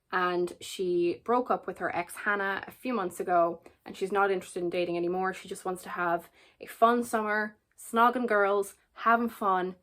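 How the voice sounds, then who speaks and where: A woman's voice: medium pitch, a bit bright. A young Irish woman in her twenties talks casually to camera about books she is reading. She is earnest and chatty, leans on repeated words for emphasis, and laughs easily between thoughts. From Ireland. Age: 20s. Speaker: book vlogger. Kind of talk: casual book chat to camera.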